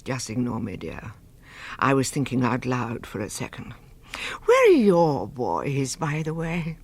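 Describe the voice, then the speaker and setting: An actress with a British accent playing a scatty, saucy middle-aged suburban wife in a radio drama, chatty and arch. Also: female voice, rumbling low, sing-song, unhurried, a little mellow, husky, full character voice.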